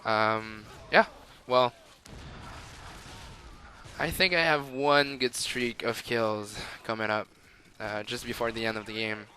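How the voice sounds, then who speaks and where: A man's voice: fairly low pitch, fairly even intonation, slow, bright, forceful tone, slight background noise. A young man with a Quebec French accent, rambling through casual gameplay commentary with lots of fillers. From Montreal, Canada. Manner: rambling and chatty, with frequent fillers and laughs. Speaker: gamer.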